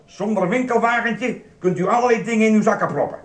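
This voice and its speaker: An actor playing a grumpy, officious supermarket security guard in a radio play, brusque, suspicious and lecturing. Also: male voice, high-pitched, quick pace, close to an everyday voice. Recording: roomy echo, music behind.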